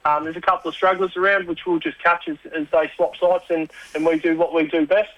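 Man, union report on radio, halting and filler-heavy, slightly high, monotone. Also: dry recording, dull audio. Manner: blokey, rambling, many fillers. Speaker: union organiser giving a report. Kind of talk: casual radio chat.

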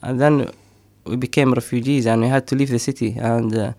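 Man, middle-aged, gravelly, dry close sound, hesitant with fillers. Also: medium pitch, steady tone, a little fast, a little mellow, no echo. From Somaliland. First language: Somali. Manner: hesitant, many fillers, frequent laughs. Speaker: poet and storyteller. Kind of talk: casual interview talk.

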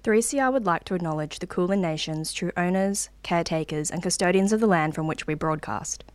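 A woman's voice: on the low side, a little fast, dry recording. A woman reading a scripted radio station announcement of acknowledgement.

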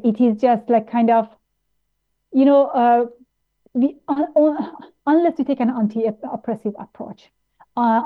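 A woman from Iran, raised in Austria, speaking as an interview guest in a halting way with many fillers and restarts. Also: on the high side. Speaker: guest, plant-based nutritionist. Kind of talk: interview conversation. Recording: tinny phone-like line.

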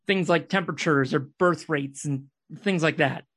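Man acting a desperate, traumatised kidnapper, higher-pitched voice. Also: moderate pace, fairly bright tone, slightly rough. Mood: accusing, insistent. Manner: accusatory, insistent, clipped. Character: traumatised, desperate male kidnapper confronting his captive. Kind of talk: read radio drama dialogue.

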